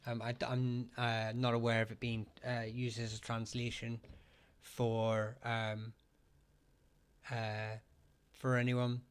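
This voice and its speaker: A man's voice: mid-range voice, completely flat delivery, smooth. Hesitant man hosting a live chat. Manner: halting, many fillers and restarts, thanks viewers for gifts. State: getting hoarse, clears throat often. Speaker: livestream host answering surname questions. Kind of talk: casual live-chat talk.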